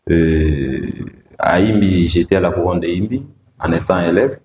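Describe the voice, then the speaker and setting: A very low-voiced man answers interview questions slowly, pausing often on fillers. His voice rings in an echoey room with a faint hiss of background noise. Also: darkish tone. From Democratic Republic of the Congo. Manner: measured, hesitant, frequent "euh" fillers. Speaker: guest, provincial road-safety director.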